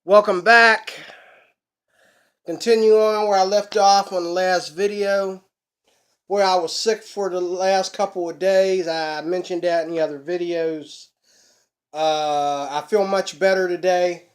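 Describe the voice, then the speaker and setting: Casting: middle-aged male vlog host, slightly hoarse, unhurried and folksy, fairly high voice, webcam in a small home room. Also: fairly even intonation. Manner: folksy, chatty, preacher-like emphasis. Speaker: ukulele channel host. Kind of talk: casual instructional vlog.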